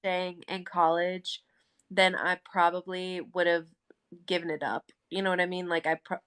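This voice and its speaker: A woman's voice: on the low side, level tone, moderate pace, fairly bright tone, clean tone. A woman in her late twenties talking casually, rambling and filling her sentences with "like" and "you know". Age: 20s. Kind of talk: casual chat.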